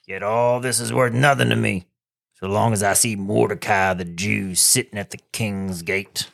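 A man's voice: fairly low pitch, fairly even intonation, normal speed, gravelly, fairly bright tone. A man playing a proud, scheming royal official in a school radio skit. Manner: reading formal lines.

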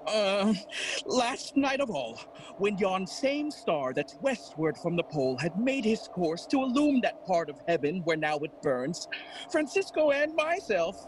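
A woman's voice: mid-range voice, big pitch swings, measured pace, a little hiss or hum, low-quality recording. A woman playing an anxious male night sentinel with exclamatory, theatrical delivery in a bilingual Spanish-English Shakespeare audio play. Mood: hushed, uneasy.

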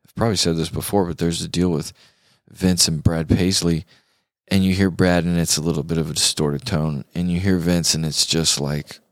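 A man's voice: deep voice, level tone, normal speed, no echo, clean studio sound. An American man hosting a podcast, chatting casually about music in a laid-back, slangy way. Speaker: podcast host.

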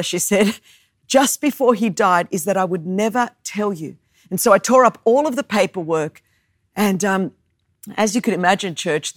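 A woman in her thirties from Australia, whose first language is Greek, preaching a sermon and telling a personal story with animated, self-mocking humor. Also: mid-range voice, no echo, studio quality. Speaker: guest preacher.

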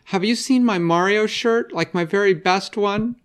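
A man voicing an excitable little boy in a storybook, talking in high, eager questions. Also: normal speed, lightly acted.

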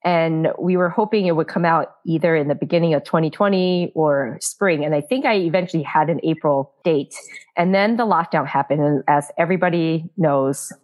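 Woman, from Taiwan, author being interviewed, low voice, chatty, fillers. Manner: chatty, frequent fillers and laughs. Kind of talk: casual interview answers.

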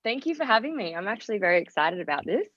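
A woman's voice: mid-range voice, sing-song, racing, fairly bright tone, dry recording, highs cut off. A young woman, chatting informally as a podcast guest, with lots of "like" and "kind of". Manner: informal, hedging with frequent "like" and "kind of". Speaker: podcast guest, music artist. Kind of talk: casual chat.

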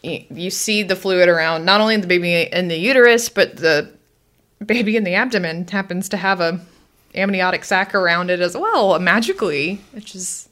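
A woman's voice: fairly low pitch, animated, moderate pace, a bit bright. A woman co-hosting a casual medical podcast, talking in an informal, conversational way. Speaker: co-host of a medical review podcast.

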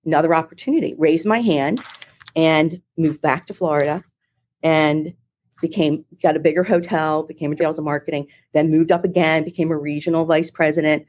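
Philly lady, middle-aged, lowish voice, chatty storyteller, lots of restarts, on a remote call. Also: fairly even intonation, a little fast, a little mellow. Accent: Philadelphia. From Philadelphia, US. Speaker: marketing executive, guest. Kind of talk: interview, career storytelling. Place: home or office in Ohio, remote call.

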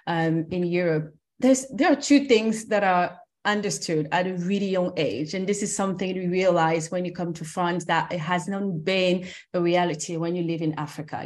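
A woman from Cameroon, a company founder in her thirties, talking at length in an interview in a reflective way with frequent ums and soft laughs. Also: on the low side. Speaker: skincare company founder, guest. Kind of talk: conversational interview.

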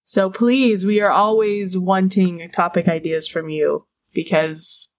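A woman's voice: lowish voice, fairly even intonation, takes it slowly. A young woman hosting a podcast with her mother, sounding a little stuffy from a head cold and talking in a chatty, playful way. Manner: chatty, playful, laughs often. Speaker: podcast host. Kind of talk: casual podcast chat.

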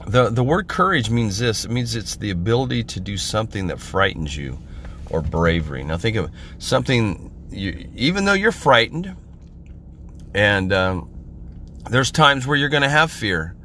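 A middle-aged man from Central California, hoarse from a head cold, giving an informal podcast sermon in a halting way with many pauses and fillers. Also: on the low side, very animated, pushed and bright, a little hiss or hum. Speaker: podcast minister.

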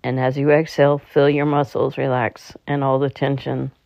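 A meditation guide speaking in a calm, slightly halting way, leading a slow guided relaxation. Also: medium pitch, creaky, no room sound. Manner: calm, halting delivery with stumbles. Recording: dull, muffled lo-fi recording.

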